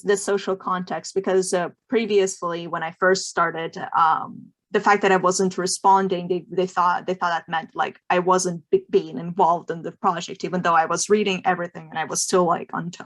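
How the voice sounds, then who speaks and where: A woman's voice: fairly low pitch, fairly even intonation, normal speed. A woman student on a panel, reflective, repeating words as she talks. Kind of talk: panel discussion.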